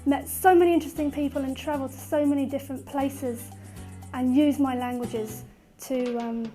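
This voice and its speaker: A woman's voice: sits high, fairly even intonation, normal speed, mellow, faint music behind. A woman teacher speaking passionately and earnestly.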